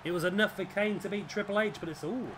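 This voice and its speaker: A man with a raspy, fading voice, commentating on a wrestling video game as the show's host. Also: high voice, fast talker. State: hoarse, voice fading. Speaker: host and commentator of a gaming series. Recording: clearly noisy background.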